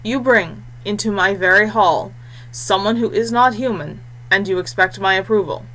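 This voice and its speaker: A woman's voice: mid-range voice, moderate pace, fairly bright tone, natural voice, rough recording. A woman voicing a cold, imperious ghostly male chief of a fort in a fantasy audiobook. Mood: contemptuous. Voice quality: oddly thin, resonant, clear.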